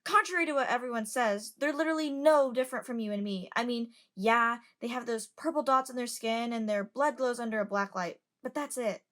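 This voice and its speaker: A woman's voice: on the high side, brisk, few pauses, fairly bright tone, lightly acted. A woman in an amateur audio drama playing a curious, outspoken young passenger who chats and lectures. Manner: chatty, lecturing.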